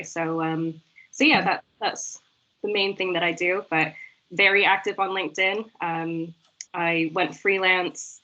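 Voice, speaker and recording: An American woman speaking on a video call, with a bright, sharp voice that sits slightly low. She talks in an easygoing way, dropping frequent "um"s. Her audio comes over a dull, lo-fi call line. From United States. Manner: easygoing, uses "um" often. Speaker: guest. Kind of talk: casual video call chat.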